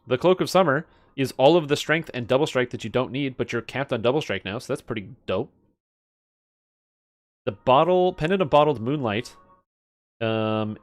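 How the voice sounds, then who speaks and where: A man giving casual live game stream commentary, thinking aloud as he mutters through details and swears now and then. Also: medium pitch. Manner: muttering through item stats, profane.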